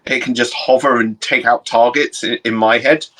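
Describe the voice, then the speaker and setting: A Cornish-accented man co-hosting a relaxed chat over a video call. His voice sits at an ordinary male pitch, and he rambles with hesitant fillers and restarts. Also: normal speed, poor audio. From Cornwall, England. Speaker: co-host. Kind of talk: casual podcast chat.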